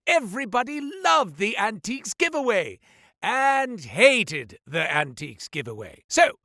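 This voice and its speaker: A man's voice: dry recording, studio quality, heavily acted. A flamboyant goat-man merchant. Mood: grandiose. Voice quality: wildly swinging pitch, booming then muttering. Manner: grandiose salesman patter with deadpan asides. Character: flamboyant male goat-man merchant, showy, sly, theatrical. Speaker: shopkeeper character. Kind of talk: video game voice lines. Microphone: dry studio mic.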